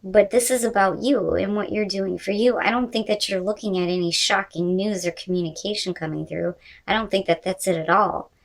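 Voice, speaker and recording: A woman's voice: mid-range voice, a little fast, vocal fry, few pauses. A woman host giving an unscripted tarot reading to viewers, talking in a conversational, emphatic way with the odd mild swear word.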